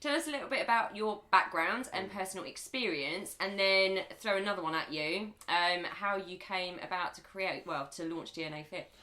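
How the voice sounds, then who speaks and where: A middle-aged woman co-hosting a podcast interview, enthusiastic and quick to laugh. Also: mid-range voice, pushed and bright. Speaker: podcast co-host.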